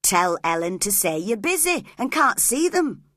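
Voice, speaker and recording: A woman's voice: mid-range voice, very animated, a little slow, sharp tone, dry recording, studio quality, exaggerated voice. A woman voicing a jealous young man who speaks with resentment and reproach. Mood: resentful.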